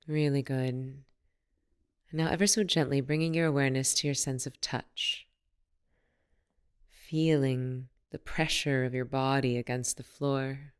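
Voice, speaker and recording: A woman leading a guided meditation as a teacher, speaking in a soothing, unhurried and gently instructive manner. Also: rumbling low, fairly even intonation, smooth.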